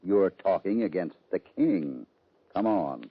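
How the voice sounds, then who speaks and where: A man playing a calm, plain-spoken cowboy hero with a dry, easygoing manner in an old-time radio western. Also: deep voice, takes it slowly, slightly rough, exaggerated voice. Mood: steady, alert. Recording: dull old radio recording.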